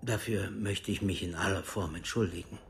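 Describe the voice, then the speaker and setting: Cool, low-voiced male officer. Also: steady tone, vocal fry, a little hiss or hum. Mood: cool, formal. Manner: formal, measured, detached. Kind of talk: radio drama acting.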